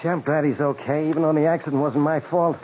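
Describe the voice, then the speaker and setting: An actor playing a panicky, defensive motorist in a 1940s radio mystery. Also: male voice, a little high, fairly even intonation, fast, a little mellow, lightly acted. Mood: relieved, unsteady. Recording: dull, hissy 1940s radio recording.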